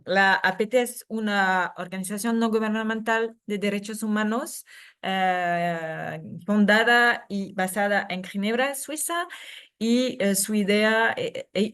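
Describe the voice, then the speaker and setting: A woman's voice: mid-range voice, clean tone. A woman speaking Spanish as a second language, giving a conference talk over a video call, with many fillers and restarts. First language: not Spanish. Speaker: secretary general of a human rights NGO.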